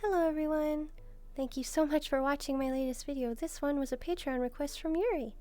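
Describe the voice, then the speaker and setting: A woman's voice: sits high, a little fast, dark tone, faint music behind. A woman who makes videos, speaking as herself to her viewers in a sign-off. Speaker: video creator.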